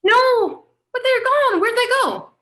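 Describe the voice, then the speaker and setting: A woman reading the part of an anxious, vulnerable woman author at a play table read, speaking softly and hesitantly and breaking into alarmed cries. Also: extremely high pitch, lively intonation, takes it slowly, full character voice.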